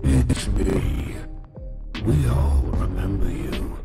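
A man voicing a vengeful haunted animatronic bear in a horror game, speaking slowly and ominously. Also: low voice, expressive, over loud music, heavily acted. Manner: slow, ominous.

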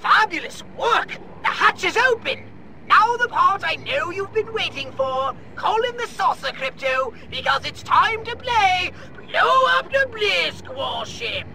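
An actor playing an excitable mission controller in a game, shouting orders. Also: male voice, very high voice, unhurried, vocal fry, over a noisy crowd, exaggerated voice, poor audio. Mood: excited.

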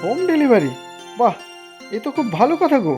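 A woman's voice: a little high, sing-song, brisk, warm tone, faint music behind. A woman voicing a friendly, helpful village neighbour man in a cartoon, in a chatty manner. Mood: pleased. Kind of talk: Bengali cartoon dubbing.

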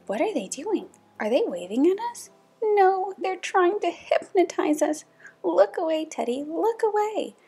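A woman's voice: high-pitched, sing-song, moderate pace, few pauses, mellow, husky, heavily acted. A woman voicing alarmed, bossy townspeople in a children's storybook, with urgent, dramatic warnings. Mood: panicked. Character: alarmed, bossy townspeople and mayor in a puppy-fearing town.

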